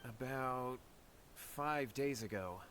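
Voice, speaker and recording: A man's voice: mid-range voice, slow pace, a bit bright, a little hiss or hum. An actor playing an earnest, anxious young man in a video game, speaking deferentially and pleadingly. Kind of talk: English video game voice acting. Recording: music clearly behind.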